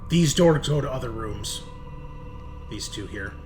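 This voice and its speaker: A man's voice: medium pitch, expressive, unhurried, a little mellow, soft background music. A man narrating a tabletop horror game as game master, in a conversational way. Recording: noticeable background noise.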